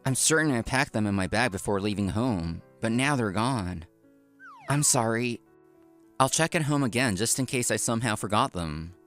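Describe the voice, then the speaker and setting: A man's voice: mid-range voice, lively intonation, normal speed, a bit bright, soft background music, lightly acted. A man playing an earnest, polite office worker who narrates his own story in a comic dub. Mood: apologetic. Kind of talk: scripted first-person narration, comic dub.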